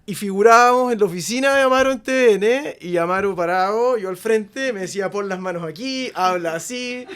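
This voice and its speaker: Brief: Chilean-accented male host, thirties, lively and slangy, high-pitched for a man. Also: fairly quick, clear, resonant tone. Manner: animated, laughing, slangy, acts out quotes. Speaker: podcast host. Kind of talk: casual interview chat.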